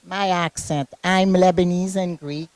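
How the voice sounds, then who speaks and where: A woman's voice: fairly low pitch, steady tone, slow pace, a little mellow, no room sound. Caribbean-accented woman, chatty and laughing. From Haiti. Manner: laughs often, restarts sentences. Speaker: interview guest, fitness competitor. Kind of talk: casual interview chat. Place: gym.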